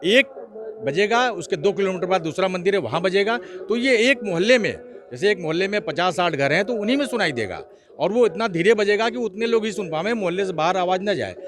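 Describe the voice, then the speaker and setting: High-voiced insistent man amid crowd noise. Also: expressive, brisk. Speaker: local activist interviewee. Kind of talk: interview answers, argumentative explanation. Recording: clear crowd noise behind.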